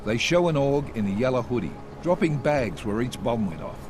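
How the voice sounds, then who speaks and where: An actor playing a stern, controlled intelligence chief in a video game, clipped and authoritative. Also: male voice, medium pitch, very animated, moderate pace, noisy background, natural voice. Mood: stern, businesslike. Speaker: agency director briefing agents. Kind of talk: video game cutscene acting.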